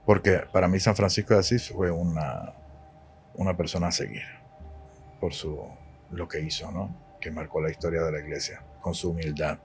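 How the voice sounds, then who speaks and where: Deep-voiced Cuban priest, emphatic. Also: male voice, moderate pace, soft background music. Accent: Cuban Spanish. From Cuba. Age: 40s. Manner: emphatic, preachy, repeats key words. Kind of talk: podcast interview.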